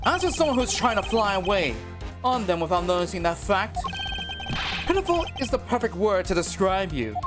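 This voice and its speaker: A man voicing a calm, confident male prosecutor who reasons aloud in a measured, deliberate way over game music. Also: high-pitched.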